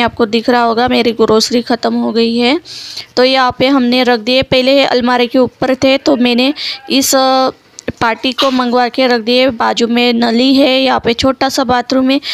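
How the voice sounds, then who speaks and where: High-voiced woman, gravelly edge. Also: no room sound. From India. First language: Marathi. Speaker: home vlogger. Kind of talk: casual home tour narration. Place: small one-room home, indoors. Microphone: handheld phone.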